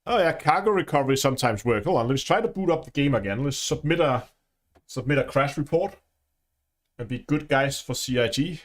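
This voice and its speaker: A man's voice: mid-range voice, lively intonation, a little fast. A man with a Danish accent hosting a casual gaming livestream, chatting with viewers and laughing often. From Denmark. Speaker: livestream host.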